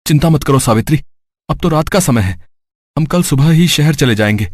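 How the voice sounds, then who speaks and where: A man's voice: on the high side, expressive, normal speed, a little mellow. Man playing a worried, humble poor father. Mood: reassuring. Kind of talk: Hindi cartoon story character voice.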